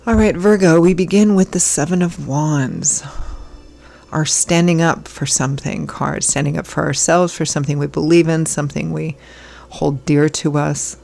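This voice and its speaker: A hoarse woman giving a casual tarot reading as host, chatty and reassuring, her voice still froggy from illness. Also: low voice, fairly even intonation, moderate pace, a little mellow. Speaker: tarot reader.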